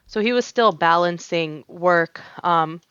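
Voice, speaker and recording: A woman in her young adult years tells long stories on a podcast, pausing with fillers and restarting her sentences. Her voice sits low and smooth. The recording is dry and slightly muffled. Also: a bit bright, dry recording. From United States. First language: Arabic. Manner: storytelling with many fillers and restarts, laughing often. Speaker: guest, tatreez artist. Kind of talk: casual podcast interview.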